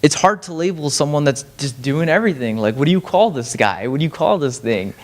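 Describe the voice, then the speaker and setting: A man's voice: a little high, lively intonation, fairly quick. Young man rambling through a casual talk. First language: Farsi. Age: young adult. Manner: rambling, self-deprecating, many fillers and restarts. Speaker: student speaker. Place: lecture stage.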